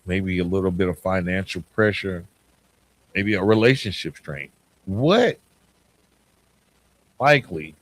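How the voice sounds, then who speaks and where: Man giving an emphatic motivational podcast talk. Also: on the low side. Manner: conversational, informal, with "right?" check-ins. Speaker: podcast host. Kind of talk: motivational self-improvement monologue.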